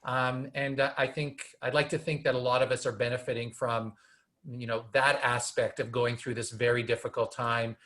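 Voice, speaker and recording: Brief: man, 50s, podcast co-host, earnest and rambling, mid pitch. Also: level tone, moderate pace, a bit bright. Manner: earnest, rambling, filler-heavy. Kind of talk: casual podcast chat.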